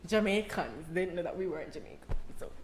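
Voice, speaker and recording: A woman from Saint Vincent with a Vincentian accent, a guest in a casual chat, lively and teasing. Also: fairly low pitch, fairly quick, noisy background. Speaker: guest musician.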